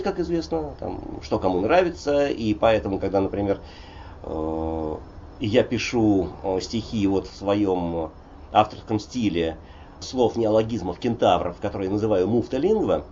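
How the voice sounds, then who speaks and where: A man's voice: fairly low pitch, dark tone, slight background noise. Older russian guy in his 60s, poet rambling in an interview, lots of ums, kinda muffled dull recording. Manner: digressive, frequent hesitations and "vot" fillers. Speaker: poet and polyglot guest. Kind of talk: conversational interview, discursive storytelling.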